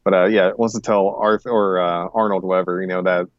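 A man's voice: low-pitched, steady tone, fairly quick, no echo, lo-fi sound. A man co-hosting a podcast in a casual review chat, rambling, hesitant and often correcting himself. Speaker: podcast co-host.

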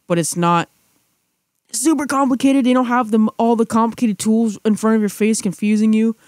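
A man's voice: high voice, normal speed, dry recording, studio quality. A young man chatting casually as a podcast co-host, rambling with restarts and fillers. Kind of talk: casual chat.

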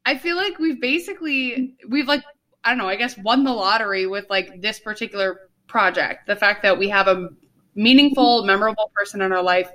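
A woman's voice: medium pitch, brisk, fairly bright tone, low-quality recording. A young woman co-hosting a podcast in rambling casual chat, full of fillers, talking over a video call. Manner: rambling, lots of fillers and laughs. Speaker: podcast co-host.

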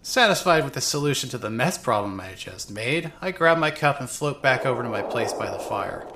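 Woman acting a tipsy, swearing young woman, very animated. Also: a bass voice, slight background noise. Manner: rambling, drunken hesitations, sarcastic swearing. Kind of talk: first-person dramatic narration of a fan audio drama.

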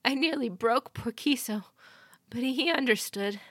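A woman voicing an exhausted young woman warrior, hoarse and out of breath. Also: on the high side, a little slow, fairly bright tone, no echo, clean studio sound, voice pushed up. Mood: breathless, distraught.

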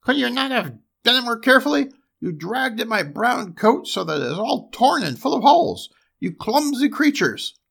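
Shrill nasty little fairy tale dwarf, way high up, super excitable and ungrateful. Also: male voice, moderate pace, gravelly, few pauses, breathy edge, exaggerated voice. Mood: furious scolding. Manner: scolding, exclamatory, pleading when afraid. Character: ungrateful, spiteful little old dwarf, male. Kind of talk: storybook character voice.

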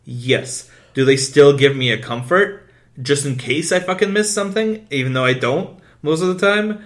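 Sweary, excitable male podcast co-host. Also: on the high side, moderate pace. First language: not English. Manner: swears constantly, rambling and excitable. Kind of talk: casual chat.

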